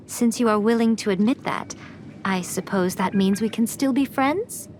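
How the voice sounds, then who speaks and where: A woman's voice: fairly high pitch, slow, a little mellow, a little hiss or hum. A woman playing an elegant, worldly shrine priestess in a video game, poised, refined and playful. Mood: teasing. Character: sly, elegant, teasing shrine priestess, worldly and self-assured. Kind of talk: video game cutscene acting and narration. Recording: music behind the voice.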